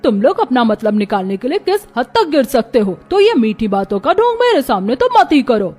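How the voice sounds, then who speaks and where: Haughty, high-voiced rich mother played by a woman. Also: very animated, brisk, dark tone. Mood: scornful, threatening. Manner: scolding, contemptuous. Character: haughty rich mother, scornful of poor people. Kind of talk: cartoon story dialogue.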